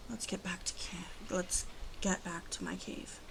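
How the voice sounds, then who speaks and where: A woman voicing a guarded, grieving female werewolf in an ASMR roleplay, halting and brooding, often dropping to breathy half-whispers. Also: fairly low pitch, steady tone, background noise. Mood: subdued, softening. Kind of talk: ASMR roleplay monologue addressed to listener.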